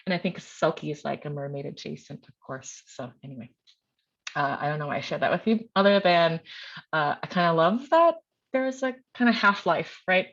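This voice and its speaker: A middle-aged woman poet and teacher giving a reading of her work with informal, self-deprecating remarks. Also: lowish voice. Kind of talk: poetry reading with casual introductory remarks. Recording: dull, lo-fi sound.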